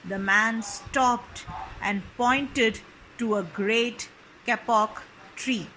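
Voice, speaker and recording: Slow, high-voiced woman reading a storybook. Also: sharp tone, a little hiss or hum, low-quality recording. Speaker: children's storyteller. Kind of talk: expressive scripted storybook narration.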